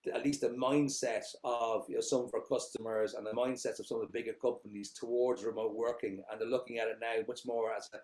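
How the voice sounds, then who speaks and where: Middle-aged Irish founder, average pitch, meandering answers full of ums and uhs. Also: male voice, fairly even intonation, brisk, few pauses, darkish tone. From Ireland. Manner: rambling, many fillers and restarts. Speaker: company founder, guest. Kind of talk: casual interview answers.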